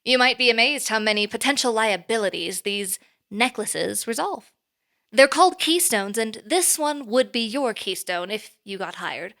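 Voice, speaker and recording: Bright-voiced, poised, formal boss. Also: female voice, fairly high pitch. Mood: composed, explanatory. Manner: measured, precise, formal. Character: poised, formal, politely earnest female boss interviewing an applicant. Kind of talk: audio drama acting, conversational.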